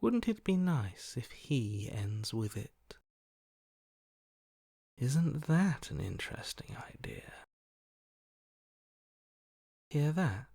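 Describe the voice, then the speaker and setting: A man's voice: mid-range voice, darkish tone, no room sound, studio quality, full character voice. A man voicing a cold, amoral voice inside someone's head in a video game, detached, matter-of-fact and dryly sardonic. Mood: detached, calculating. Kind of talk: video game character acting.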